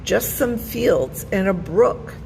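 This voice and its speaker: Woman voicing an earnest little minstrel pig, pitched up. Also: expressive, slow pace, creaky, warm tone, a little hiss or hum, poor audio. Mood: puzzled. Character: innocent, emotional young male minstrel pig. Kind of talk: children's storybook character reading.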